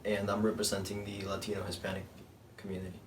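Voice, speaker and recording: A man's voice: lowish voice, completely flat delivery, fast. A teenage boy speaking casually on a student panel, mostly in short, agreeable replies. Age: teens. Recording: echoey room, noisy, distant.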